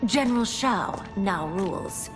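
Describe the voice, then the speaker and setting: A woman's voice: medium pitch, animated, unhurried, music in the background, close to an everyday voice, rough recording. A woman playing a cunning, proud young princess in a video game, cool and defiant. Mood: calculating.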